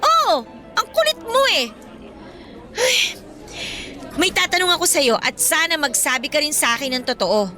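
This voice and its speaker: Bright, high-voiced, defiant young Filipina girlfriend, acted by a woman. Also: very animated, moderate pace, long pauses, full character voice. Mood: indignant. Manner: assertive, emphatic. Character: defiant young girlfriend, outspoken and loyal to her friend. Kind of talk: radio-drama dialogue acting. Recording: music bed behind the voice.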